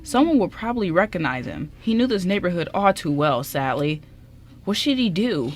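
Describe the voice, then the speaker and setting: A woman's voice: fairly low pitch, big pitch swings, normal speed. A woman reading scripted story narration as the narrator of a radio drama.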